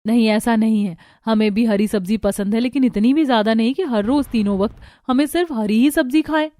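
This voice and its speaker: A woman's voice: fairly high pitch, brisk, dark tone, no room sound, natural voice. A woman voicing a caring but indignant young wife in a cartoon, earnest and reproachful.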